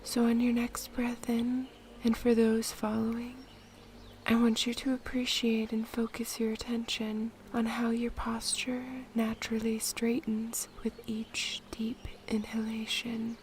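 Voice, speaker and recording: A woman guiding a meditation in a soft, breathy, whispery voice, with a soothing, gentle and measured manner. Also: on the high side, completely flat delivery, a little hiss or hum. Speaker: meditation guide. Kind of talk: guided meditation, soft-spoken narration. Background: music.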